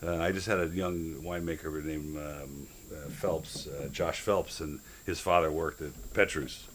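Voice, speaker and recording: A middle-aged man hosting a casual interview, chatty and enthusiastic with quick reactions. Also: extremely low pitch, steady tone, a little hiss or hum. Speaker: show host.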